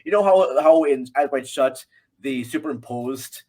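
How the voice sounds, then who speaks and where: A man with a mid-range voice talks casually as a guest reviewer on a film show. His delivery is halting, as if he is working out each opinion while he speaks. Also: medium pitch, dark tone.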